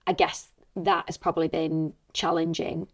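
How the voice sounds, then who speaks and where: A woman with a slightly husky voice, talking casually and reflectively as a voice actress on a podcast. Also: deep voice, flat delivery, normal speed.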